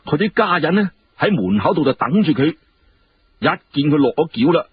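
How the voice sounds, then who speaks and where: A man's voice: a little high, normal speed, lo-fi sound, slight background noise. A man telling a story in a dramatic, colloquial and conversational way. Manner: animated, colloquial, conversational asides. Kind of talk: dramatic Cantonese audiobook storytelling.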